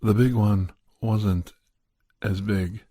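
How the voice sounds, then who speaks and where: Low husky guy doing asmr scary story, soft n unhurried, kinda halting, super dry close sound. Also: fairly even intonation, no room sound. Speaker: podcast host and storyteller. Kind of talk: ASMR first-person horror storytelling.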